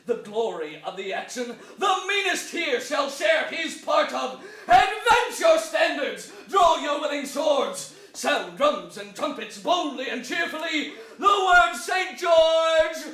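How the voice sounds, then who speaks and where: An actor playing a noble young warrior leader, declaiming a rousing Shakespearean battle oration in measured phrases. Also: male voice, very high voice, big pitch swings, soft background music, heavily acted. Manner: measured, declamatory, swelling to shouts. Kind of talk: theatrical Shakespearean stage oration. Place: large theatre stage, indoors. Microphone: far camera. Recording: echoey theatre hall, distant mic.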